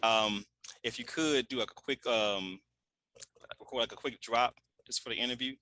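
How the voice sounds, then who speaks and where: A man hosting a show, chatting loosely with many pauses and fillers. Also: medium pitch, bright, forceful tone. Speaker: show host. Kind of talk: casual interview and show links. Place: in Louisiana.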